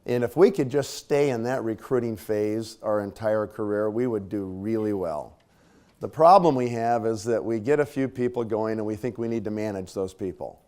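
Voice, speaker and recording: A man with a slightly scratchy voice, clearing his throat now and then, gives a conversational leadership talk to a live audience. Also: on the low side, moderate pace, darkish tone. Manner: conversational, casual fillers and tag questions. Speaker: speaker at a leadership training.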